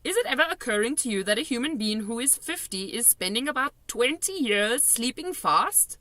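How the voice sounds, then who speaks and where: A woman's voice: a little high, lively intonation, normal speed, bright, forceful tone, no echo, voice pushed up. A woman plays a kindly old giant from a children's storybook. He speaks in a raised, swooping voice, earnest and emphatic. His grammar is muddled and peppered with nonsense words. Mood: explaining.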